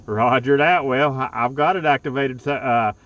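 A man's voice: mid-range voice, distant mic, highs cut off, very noisy. Man reading call signs outdoors. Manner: brisk, repetitive call-sign reading. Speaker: ham radio park activator. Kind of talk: rapid radio contact exchange. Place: outdoors in a park. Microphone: handheld radio microphone.

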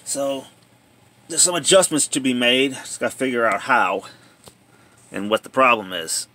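A man's voice: medium pitch, normal speed, sharp tone. Plainspoken man thinking aloud. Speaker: hobbyist showing a tape machine repair. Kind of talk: casual handheld video commentary. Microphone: handheld phone or camera.

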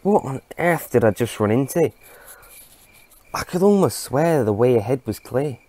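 Guy doing a confused young farmer lad in an audio drama, startled, a bit sheepish, pitched up. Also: expressive, moderate pace, mellow, natural voice. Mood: shaken. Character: bewildered, eager young male farmer.

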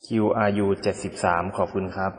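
A man making an amateur radio call in speech, deliberately repeating call signs and details in short polite exchanges. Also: low-pitched, level tone, long reverb tail.

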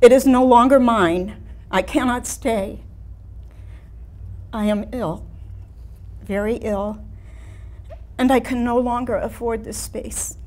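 A woman playing a wistful, ailing artist in her late seventies in a theatrical staged reading. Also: fairly high pitch. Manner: slow, deliberate, poetic delivery with pauses. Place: room of a house, seated before an audience.

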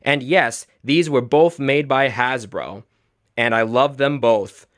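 A man's voice: mid-range voice, slow, dry recording, studio quality. A man giving a chatty show-and-tell product review, enthusiastic and fond of repeating emphatic phrases. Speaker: toy reviewer.

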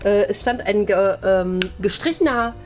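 A woman's voice: mid-range voice, lively intonation, normal speed, darkish tone. A young woman co-hosting a DIY video, chatting casually and explaining cooking steps in a kitchen. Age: young adult. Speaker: host of a DIY video channel. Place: indoors, studio kitchen.

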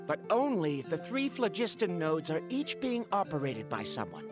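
A studious, earnest game companion with a lowish voice that moves expressively. The delivery is tentative and bookish, with game music clearly behind it. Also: female voice, big pitch swings, moderate pace. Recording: quiet game dialogue over music.

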